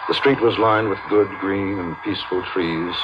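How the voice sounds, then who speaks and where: An actor playing a measured, wistful storyteller narrating a 1950s radio drama. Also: male voice, low-pitched, fairly even intonation, close to an everyday voice. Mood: reflective. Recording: muffled, noisy 1950s radio recording.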